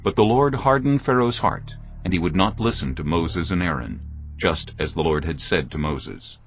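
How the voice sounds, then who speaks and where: A man narrates scripture in a deep, grave voice with expressive rises and falls. The audio is a bit muffled, and music plays steadily underneath. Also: moderate pace.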